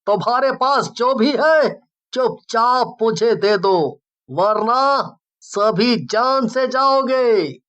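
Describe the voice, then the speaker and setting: Cruel boastful bandit, horror story, acted by a man: high-pitched, unhurried menace. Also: expressive, fairly bright tone, smooth, highs cut off, full character voice. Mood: threatening. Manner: slow, menacing. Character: cruel male forest bandit, threatening and boastful.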